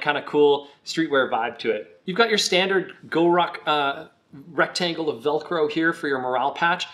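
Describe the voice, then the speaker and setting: Guy w a bit of a croak from a cold, chatty backpack review, sits a little high. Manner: relaxed, chatty, with some fillers. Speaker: product reviewer. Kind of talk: casual product review.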